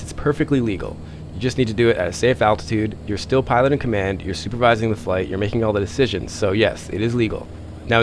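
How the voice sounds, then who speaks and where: A man with a lowish voice narrates a pilot's vlog at a brisk clip. His delivery is informal and chatty, happy to poke fun at himself, with faint noise behind him. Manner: chatty, informal, self-deprecating. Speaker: private pilot and video host.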